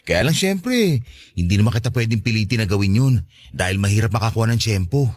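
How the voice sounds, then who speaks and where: A man's voice: lowish voice, expressive, quick pace. A man playing a cheerful, devoted boyfriend in his thirties in an audio drama, earnest and conversational. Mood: happy, grateful. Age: 30s. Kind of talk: Tagalog audio drama dialogue.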